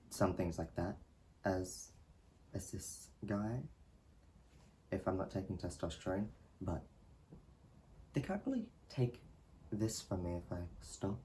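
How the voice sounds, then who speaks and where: A young man talking softly and hesitantly in a personal vlog, with small laughs between thoughts. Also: low voice, a little hiss or hum. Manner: soft, hesitant, giggly. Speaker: vlogger.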